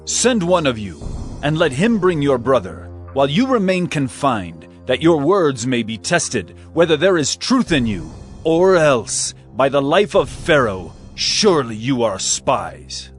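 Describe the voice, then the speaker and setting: Man playing a stern governor, slow firm delivery, animated pitch, faint background music. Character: stern Egyptian governor testing his brothers. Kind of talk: dramatized scripture reading.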